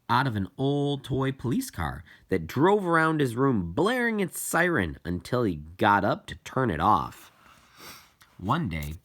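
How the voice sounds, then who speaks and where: A man reading a children's novel aloud as scripted narration, with deliberate, halting phrasing. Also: medium pitch, fairly bright tone. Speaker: audiobook reader.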